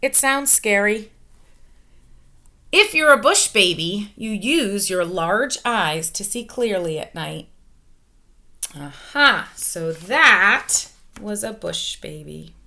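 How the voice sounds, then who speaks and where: A woman in her forties reads a children's picture book aloud in a bright, forward voice. Her pitch moves up and down in lively swings, and she takes her time over the words. She speaks like an elementary teacher, stressing key words for young listeners. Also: slow pace. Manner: expressive, emphatic, question-asking, child-directed delivery. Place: small furnished room at home, indoors. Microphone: webcam or laptop microphone at arm's length.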